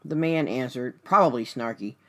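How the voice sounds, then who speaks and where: A woman's voice: very deep voice, normal speed, a bit bright. A woman hosting a radio show as a life coach, speaking earnestly and directly to the listener in a scripted but conversational motivational style. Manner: earnest, direct address to the listener with rhetorical questions.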